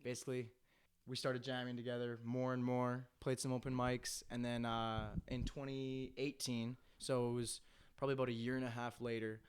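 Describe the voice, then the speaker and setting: A man's voice: mid-range voice, little pitch movement, moderate pace, clean tone. A young man from Canada, a band member, telling his band's story at length in a casual podcast chat, full of "uh" fillers. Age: young adult. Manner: rambling storyteller, lots of "uh" fillers. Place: home basement.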